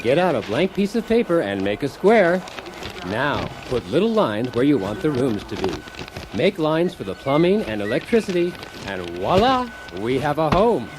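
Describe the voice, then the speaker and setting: A man acts out a chipper, accident-prone handyman husband in a comic radio play. His voice sits fairly high and swings widely as he gives theatrical, earnest instructions over clear background noise. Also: sing-song, normal speed. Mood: upbeat, instructive. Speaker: host of a spoof do-it-yourself radio show. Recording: clearly noisy, busy effects behind.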